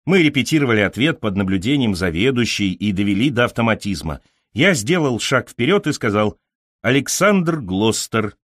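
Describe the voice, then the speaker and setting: A man reading literary fiction as an audiobook narrator, in an expressive, carefully scripted storytelling delivery. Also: fairly low pitch, fairly quick, husky, dry recording, clean studio sound.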